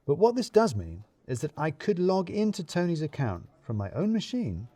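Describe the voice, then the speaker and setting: Wry, mellow male storyteller, closely recorded. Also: fairly high pitch, huge pitch swings, no echo. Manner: wry, dryly comic, deliberate phrasing. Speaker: narrator of a fiction podcast, in the first person. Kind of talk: scripted first-person story narration.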